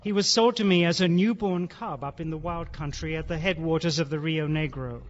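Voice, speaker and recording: An actor playing a genial, sly gentleman who keeps exotic beasts, effusive and genteel in a theatrical radio drama style. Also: a little high, a little fast, close to an everyday voice. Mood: proud, relishing. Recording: dull, noisy old radio-drama recording.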